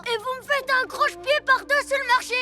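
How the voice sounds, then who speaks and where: A performer voicing a plucky, touchy small boy superhero in a children's cartoon, animated and a bit whiny. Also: very high-pitched, brisk, over loud music. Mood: indignant.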